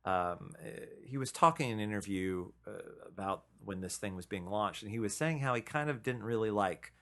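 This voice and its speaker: A man's voice: fairly low pitch, fairly quick, pushed and bright. A man co-hosting a podcast in casual chat, enthusiastic and rambling as he talks. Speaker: podcast co-host.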